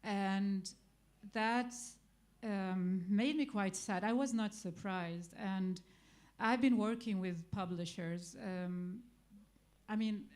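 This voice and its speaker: A woman's voice: medium pitch, slow, darkish tone. A middle-aged woman with an Arabic accent, speaking English as a third language at a festival talk. She speaks off the cuff, with frequent fillers and restarts. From Iraq. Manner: hesitant, many fillers and restarts. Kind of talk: spontaneous public talk.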